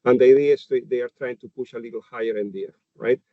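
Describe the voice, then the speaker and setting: A man from Chile with a Chilean Spanish accent, a livestock nutritionist giving a webinar presentation, pausing often and restarting phrases. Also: mid-range voice, fairly even intonation, no echo. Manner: hesitant, many fillers and restarts while explaining slides. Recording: dull, dry webinar audio.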